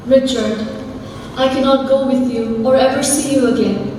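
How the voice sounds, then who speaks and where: Mellow, slightly high, actress playing tender sorrowful woman in love, stage play, echoey hall. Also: fairly even intonation, moderate pace, natural voice. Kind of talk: stage drama acting. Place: large hall at a school stage event. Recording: echoey hall from far off, faint noise.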